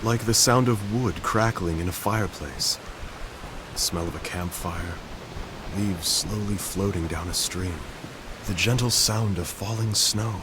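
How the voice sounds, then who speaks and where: A gentle, melancholic young composer who is ill, musing softly in a low, unhurried voice. The recording carries a steady hiss underneath. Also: male voice. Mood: wistful. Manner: soft, reflective, searching delivery. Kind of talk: video game cutscene dialogue. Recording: hissy game audio capture.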